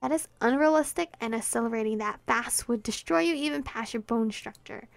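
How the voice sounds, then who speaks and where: A woman's voice: a little high, brisk, gravelly, no echo, faint music behind. A woman voicing a prim, irritable teenage girl who scolds curtly. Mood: scolding. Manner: curt, exasperated, scolding.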